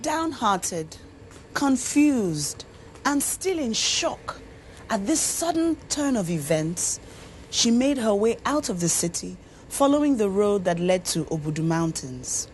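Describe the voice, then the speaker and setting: A woman with a Nigerian accent narrating a scripted folktale in an expressive, dramatic storyteller's manner. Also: mid-range voice, slow pace, slight background noise, poor audio. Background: music.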